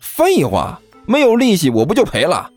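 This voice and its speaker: A smug, chubby young student played by a male narrator in an audiobook, jeering and full of mock concern. The delivery is hugely animated and pitched up, swooping through each taunt, with music underneath. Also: moderate pace, full character voice. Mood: sneering. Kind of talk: audiobook character acting.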